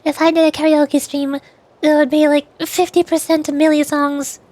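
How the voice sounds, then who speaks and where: A woman's voice: high voice, level tone, moderate pace, darkish tone. A woman chatting casually on a livestream, rambling, repeating and correcting herself as she talks about voice acting. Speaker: streamer and amateur voice actor.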